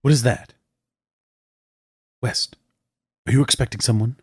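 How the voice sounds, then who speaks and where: An actor playing an anxious, earnest doctor and former research assistant in a horror audio drama. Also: male voice, mid-range voice, steady tone, unhurried, noisy background, lightly acted. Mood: alarmed. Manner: earnest, agitated.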